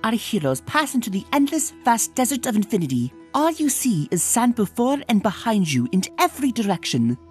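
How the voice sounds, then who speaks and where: A woman's voice: on the high side, big pitch swings, brisk, hardly any pauses, darkish tone. A woman voicing an earnest young zebra filly as a game master in a cartoon comic dub. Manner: storytelling, earnest. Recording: music behind the voice.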